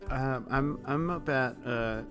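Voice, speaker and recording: A man's voice: mid-range voice, takes it slowly, music in the background. A man playing in a tabletop podcast, chatting casually in a jokey, rambling way. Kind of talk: casual chat.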